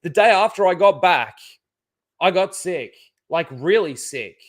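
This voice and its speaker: A man hosts a casual livestream while fighting a head cold, his nose blocked. His voice sits a little high, and he rambles with constant "like"s and false starts, talking into a desk mic. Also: lively intonation, unhurried. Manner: rambling, full of "like" and restarts. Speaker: livestream host.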